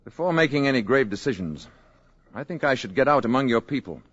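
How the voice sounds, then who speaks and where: An actor playing a calm, aloof male alien visitor in a 1950s radio drama, speaking in a measured, quiet, grave way. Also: mid-range voice.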